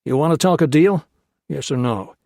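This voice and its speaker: A man's voice: fairly high pitch, normal speed, vocal fry, a little mellow, no room sound, clean studio sound, pitched above the performer's own voice. A man voicing a shrewd, brash soldier-trader who talks in a blunt, matter-of-fact way.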